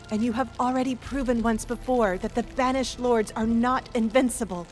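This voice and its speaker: A woman's voice: on the high side, a little mellow, natural voice. A woman playing an earnest, resolute dragon hunter in a video game cutscene.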